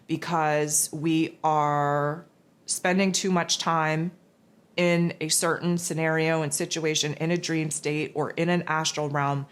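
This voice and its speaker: A raspy, hoarse woman hosting a spiritual forecast, talking in a loose, rambling casual monologue. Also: low-pitched. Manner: rambling, loose, with many fillers and restarts. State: hoarse from losing her voice.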